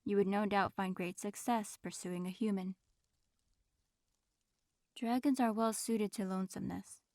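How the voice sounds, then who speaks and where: A woman playing a proud, reserved dragon in human form, speaking formally and with measured aloofness in an audio roleplay. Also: medium pitch, steady tone, a little mellow, no room sound, clean studio sound, close to an everyday voice. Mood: aloof, reserved. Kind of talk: audio roleplay acting, addressing the listener.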